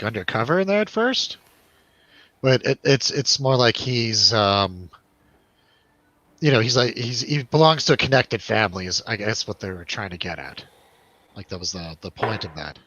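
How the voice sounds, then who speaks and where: A man's voice: mid-range voice, animated, moderate pace, a bit bright, dry recording, dull audio. A man hosting a casual film review chat, rambling along with wry joking. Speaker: host of a film review show.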